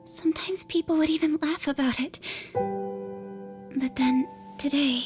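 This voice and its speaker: A high, youthful voice of a bullied schoolgirl in a video game drama. She is hesitant and earnest, with instrumental music clearly behind her. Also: fairly even intonation, slow. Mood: hesitant, wondering. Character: shy, bullied teenage schoolgirl, earnest and vulnerable. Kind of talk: English video game dub acting. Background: instrumental background music.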